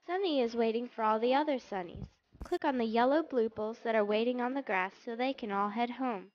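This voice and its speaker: High-voiced woman narrating for children, dull audio. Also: a little fast, no echo. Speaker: narrator of a children's learning game. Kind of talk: scripted narration of instructions for young children.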